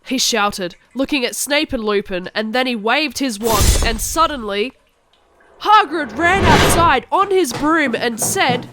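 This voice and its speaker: A woman's voice: high voice, lively intonation, unhurried, background noise. A woman narrating a cartoon story in the first person as a melodramatic, angsty goth teenage girl.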